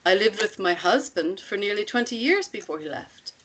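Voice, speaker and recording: An older matriarch, acted by a woman leads her commune with calm certainty. Her mid-range voice is measured and deliberate, persuading through pointed questions in a dramatic table read. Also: medium pitch, slight background noise, natural voice, poor audio. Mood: calm, assured.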